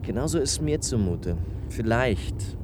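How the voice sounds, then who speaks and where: Man as animated, wry journalist over car noise. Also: mid-range voice, unhurried, natural voice. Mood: puzzled, probing. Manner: lively, ironic, probing. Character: inquisitive, wry male journalist with a sceptical streak. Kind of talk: naturalistic radio play dialogue. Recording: loud car and traffic noise.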